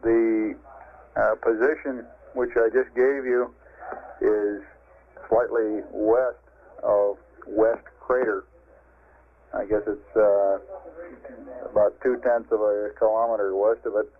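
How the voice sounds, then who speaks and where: A man's voice: lowish voice, flat delivery, slow pace, pauses often, a little hiss or hum. A man at mission control speaking over radio in clipped, businesslike procedural phrases. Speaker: mission control capsule communicator. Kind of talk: procedural space-to-ground radio exchange. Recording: narrow tinny 1960s radio link.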